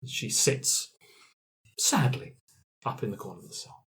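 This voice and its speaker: A game master narrating an improvised tabletop adventure in a hushed, atmospheric way. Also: medium pitch, huge pitch swings, unhurried.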